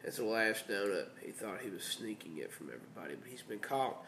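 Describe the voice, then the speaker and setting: A congested man hosts a podcast with his band, joking and laughing as he rambles. His pitch jumps around a lot. He is recorded in an echoey room with faint background ambience. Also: animated, brisk, fairly bright tone, slight background noise. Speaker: podcast host. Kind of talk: casual chat.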